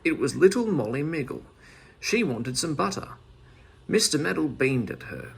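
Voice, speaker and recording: A man narrating a children's story aloud in an expressive storyteller's manner. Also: on the high side, moderate pace, gravelly, slightly rough.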